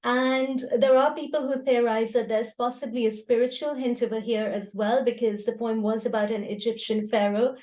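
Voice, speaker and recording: A woman's voice: fairly high pitch, fairly even intonation, moderate pace, hardly any pauses, a little mellow. A woman introducing and reciting poetry at an online gathering in an explanatory, conversational way. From Karachi, Pakistan. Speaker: host and poet at a poetry gathering. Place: online video call.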